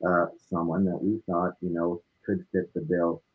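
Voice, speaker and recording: A man with a husky voice, a musician being interviewed, talking casually and reflectively and repeating points for emphasis. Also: deep voice, little pitch movement, normal speed, narrow, tinny audio.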